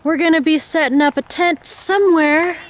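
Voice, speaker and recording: High voiced lady doing a casual family vlog outside, takes her time, bit of background noise. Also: fairly even intonation, normal speed. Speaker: vlogger. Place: outdoors at a campsite.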